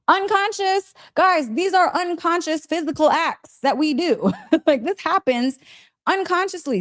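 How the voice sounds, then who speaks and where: Woman wellness coach chatting live, kinda high voice, emphatic, keeps checking in with listeners. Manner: conversational, emphatic, frequent check-in tags, breaks into laughter. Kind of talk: casual motivational live talk, coaching.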